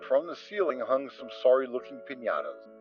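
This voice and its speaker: A man narrating a dramatic reading of a story, steady and expressive. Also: mid-range voice, a little fast, highs cut off, soft background music. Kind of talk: dramatic reading of fan fiction.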